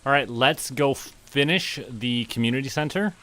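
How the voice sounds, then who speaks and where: Bright-voiced man streaming a game. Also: mid-range voice, normal speed, a little hiss or hum. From Canada. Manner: playful, narrates own actions and reads game text aloud. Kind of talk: casual game stream commentary, thinking aloud.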